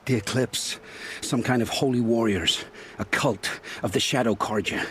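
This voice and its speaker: An actor voicing a haunted, grim male scavenger who tells dark stories with intensity, in a video game. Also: mid-range voice, normal speed, a little hiss or hum, natural voice. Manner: intense, dramatic storytelling.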